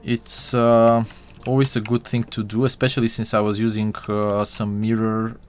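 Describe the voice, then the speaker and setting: A man's voice: lowish voice, level tone, normal speed, soft background music. A young man from Bulgaria chatting with his live-stream viewers while he models, full of fillers and restarts.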